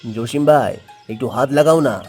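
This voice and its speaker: A man's voice: medium pitch, moderate pace, darkish tone, faint music behind, natural voice. Man as an earnest young village son. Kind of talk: cartoon story dialogue.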